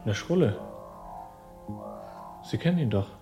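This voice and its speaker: An actor playing an evasive young male swindler in a radio drama, outwardly polite and measured. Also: medium pitch, expressive, over music, natural voice. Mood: guarded.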